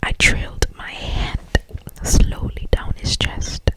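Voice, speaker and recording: A woman reading a story for ASMR in a breathy, intimate, drawn-out whisper. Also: sharp tone. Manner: slow, intimate, drawn-out delivery. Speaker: ASMR reader.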